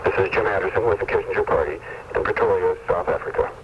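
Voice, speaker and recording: A man's voice: sits low, quick pace. A man delivering a scripted radio report as a foreign news correspondent. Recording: muffled noisy AM radio cassette recording.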